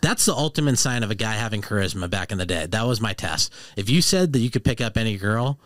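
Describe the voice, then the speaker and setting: A young man from Boston with a Boston accent, a guest comedian telling animated stories in a casual podcast chat. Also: fairly low pitch, fast talker, no echo, clean studio sound. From Boston, Massachusetts. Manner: animated storyteller, laughs through punchlines.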